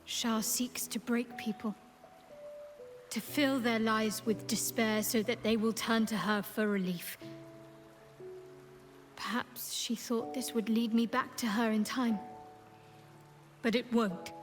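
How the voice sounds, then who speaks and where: An actress playing a wounded but defiant young former cultist in a video game, guarded yet confiding. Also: female voice, a little high, fairly even intonation, slow pace, long pauses, soft background music. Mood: resolute, pained. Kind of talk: video game dialogue acting.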